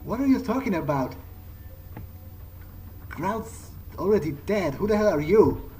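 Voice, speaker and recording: A man's voice: sits high, animated, unhurried, warm tone, a little hiss or hum, rough recording. A man improvising in character as he plays a video game, talking hesitantly to himself and swearing freely. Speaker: let's player. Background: game soundtrack music.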